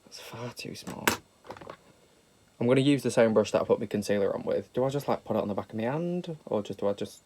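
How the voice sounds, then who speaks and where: A man's voice: lowish voice, fast talker, a little mellow, slight background noise. Young British man, nasal from a cold, camp and sweary, self-deprecating vlog chat. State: slightly nasal from a sniffle. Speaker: makeup vlogger. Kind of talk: casual makeup tutorial chat.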